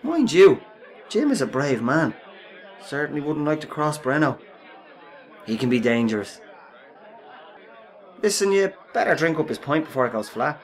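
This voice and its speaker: An actor with a Dublin accent playing a jovial, easygoing pub drinker in a radio play, chatty and matey. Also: male voice, on the high side, sing-song, normal speed, pauses often. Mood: matey banter. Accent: Irish, Dublin. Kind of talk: radio play acting in colloquial dialogue. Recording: pub crowd chatter behind.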